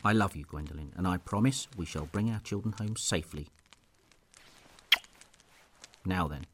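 A man's voice: sits low, fast, noisy background, natural voice. A man playing a stern but loving noble lord and father in an audio drama, speaking in a formal, archaic, commanding way. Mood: tender.

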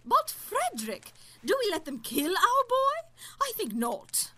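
A woman's voice: very high voice, huge pitch swings, measured pace, sharp tone, full character voice. A woman playing an anxious, protective noble lady and mother in an audio drama, with a formal, dramatic, pleading delivery. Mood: distraught, pleading.

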